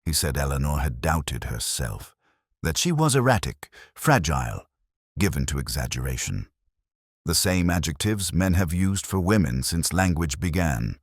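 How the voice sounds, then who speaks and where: A man narrating a first-person detective story in a measured, literary, brooding manner, with a husky voice. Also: very deep voice, very animated, no echo, clean studio sound.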